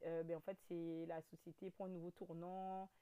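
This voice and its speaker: A woman in her thirties with a Guadeloupean French accent, a guest telling her story in a casual interview, with lots of fillers, restarts and animated turns. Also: lowish voice, normal speed, smooth. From French overseas territory. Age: 30s.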